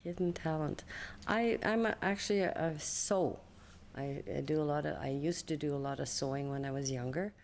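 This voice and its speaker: A woman's voice: low voice, moderate pace, darkish tone, a little hiss or hum. A woman in her seventies, a community elder from Canada, answering interview questions hesitantly and repeating words as she starts. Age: 70s.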